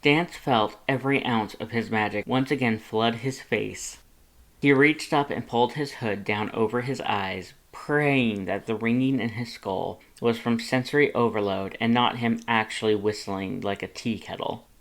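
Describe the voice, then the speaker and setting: A narrator reading fan fiction aloud as a dramatic story, with expressive and intense delivery. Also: medium pitch, a little slow. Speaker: audiobook reader of fan fiction.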